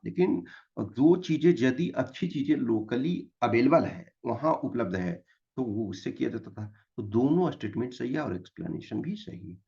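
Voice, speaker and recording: A man's voice: mid-range voice, gravelly, mellow, lo-fi sound. A man with an Indian accent giving an explanatory exam-prep lecture, mixing Hindi and English and repeating key points didactically. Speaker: exam-prep history teacher.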